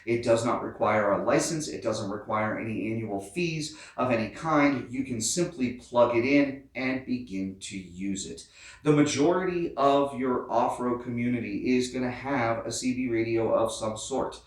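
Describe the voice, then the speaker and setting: A man's voice: medium pitch, normal speed. An American man hosting an explainer vlog, talking informally and directly to his viewers. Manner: informal, addresses viewers as guys. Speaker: host of a Jeep off-road channel. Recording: very echoey, distant mic.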